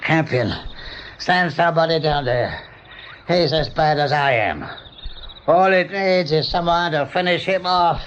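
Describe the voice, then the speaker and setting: Battered rabbit general, slow and high. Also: male voice, fairly bright tone. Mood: exhausted, gruff. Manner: halting, laboured, repeating himself. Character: wounded, proud male rabbit general, battered warlord. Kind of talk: radio play acting. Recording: dull noisy 1980s radio recording.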